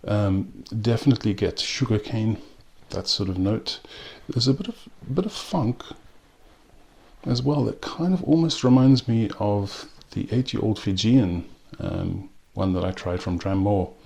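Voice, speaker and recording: A man from South Africa with a South African accent, reviewing a rum in a relaxed way with frequent pauses and ums. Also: lowish voice, gravelly. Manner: unhurried, with frequent ums and restarts. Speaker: spirits reviewer. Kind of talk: casual spoken tasting review.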